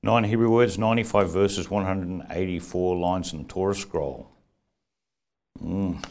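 A man with a croaky voice leading a congregation in earnest prayer and teaching. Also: sits low, steady tone, moderate pace, darkish tone. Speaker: congregation leader.

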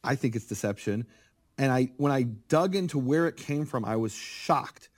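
A middle-aged man speaking as a guest, explaining biblical history in a lively, conversational way and acting out quotes. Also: medium pitch, moderate pace. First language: English. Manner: animated, acts out quoted speakers. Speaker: guest scholar of biblical Hebrew. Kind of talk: conversational interview, lecturing.

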